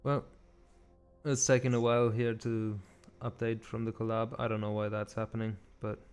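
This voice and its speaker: A man's voice: fairly low pitch, level tone, moderate pace. Man thinking aloud over music. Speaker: host, game developer streaming. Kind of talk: casual chat while working. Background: music behind the voice.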